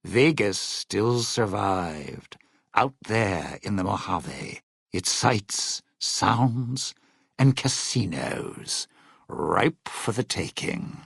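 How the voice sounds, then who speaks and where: A smug, suspicious old crooner turned thief for a video game. The voice is raspy and bright, with a sharp edge. He takes his time with wry showman's patter, sardonic and distrustful. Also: on the low side, animated, drawn-out words, no echo, clean studio sound, heavily acted. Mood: wry, reflective. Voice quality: raspy, gravelly. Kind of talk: in-game character dialogue and epilogue narration.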